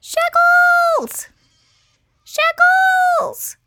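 A woman voicing a small, plucky male clawed creature with a squeaky, strained voice. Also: flat delivery, very slow, background noise, heavily acted. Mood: strained urgent.

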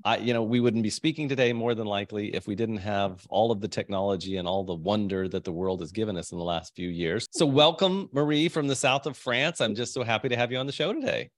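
A man's voice: on the low side, fast, hardly any pauses, no echo, studio quality. A man hosting a podcast, enthusiastic and upbeat, rambling a little as he welcomes his guest. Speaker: podcast host. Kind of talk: casual interview hosting.

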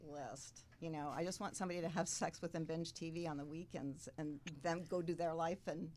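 Woman guest, slightly low pitch, affectionate, casual chat. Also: steady tone, fairly quick, a little mellow, a little hiss or hum.

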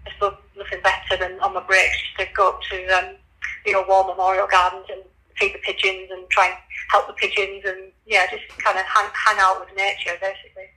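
A man's voice: sits high, completely flat delivery, fairly quick, pushed and bright, rough recording. A man from Leeds with a Leeds accent, a tofu business owner chatting casually in an interview, repeating words and restarting often. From Leeds, England.

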